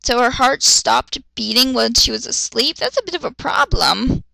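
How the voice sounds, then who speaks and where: Amateur female reader-critic: sharp, creaky, sarcastic, foul-mouthed and theatrically exasperated. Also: on the high side, normal speed, dry recording, low-quality recording. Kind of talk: dramatic reading with sarcastic ranting asides.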